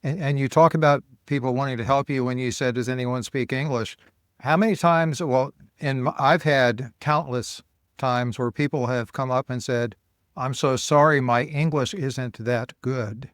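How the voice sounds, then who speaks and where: Smooth-voiced American podcast host. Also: male voice, medium pitch, fairly even intonation, moderate pace, no room sound, studio quality. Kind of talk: casual interview chat.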